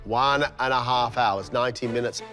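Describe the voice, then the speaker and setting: A man's voice: mid-range voice, moderate pace, bright, forceful tone. A man presenting and judging a cooking competition in an upbeat, announcing manner. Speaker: judge. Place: TV studio kitchen. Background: background music.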